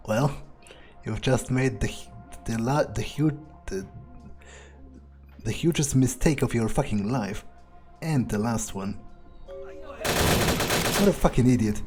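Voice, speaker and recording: A man speaks very slowly, musing aloud in character as he plays a video game. His manner is cocksure and foul-mouthed, and faint music plays behind him. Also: medium pitch, lively intonation. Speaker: gamer playing in character. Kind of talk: in-character game playthrough commentary, thinking aloud.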